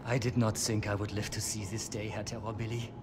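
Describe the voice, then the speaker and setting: A man's voice: fairly low pitch, little pitch movement, a little fast, natural voice. An actor as an awed German man, noisy backdrop. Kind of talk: video game dialogue.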